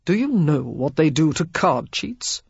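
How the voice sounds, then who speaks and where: A woman's voice: sits low, sing-song, unhurried, no room sound, highs cut off, full character voice. A woman reading a dry, stern young lord. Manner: dry, gruff.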